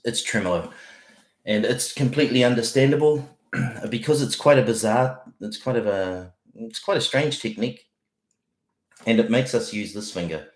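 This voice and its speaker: A man, teaching guitar on a casual livestream with a croaky morning voice, clearing his throat and rambling with fillers. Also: sits low, echoey room. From New Zealand. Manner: rambling, friendly, many fillers and restarts. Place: small home office.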